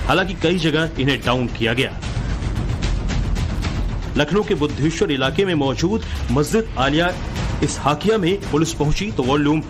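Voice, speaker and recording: A man's voice: fairly high pitch, lively intonation, moderate pace, pauses often. A man reading a scripted Hindi news voice-over. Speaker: news narrator. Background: music.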